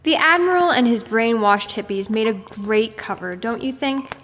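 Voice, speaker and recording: A woman's voice: fairly high pitch, expressive, normal speed, a bit bright. An actress playing a smug, sarcastic female supervillain with a mocking, theatrical manner in an audio drama. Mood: smug, gloating. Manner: mocking, theatrical, breezily threatening. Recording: long echoing reverb, faint noise.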